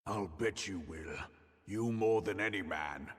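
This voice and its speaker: An actor playing a furious, loyal warrior general in a fantasy game, gravelly, blunt and menacing, seeking to avenge his sultana. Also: low voice, unhurried. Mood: bitter accusation. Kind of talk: dramatic fantasy game acting. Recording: long hall reverb.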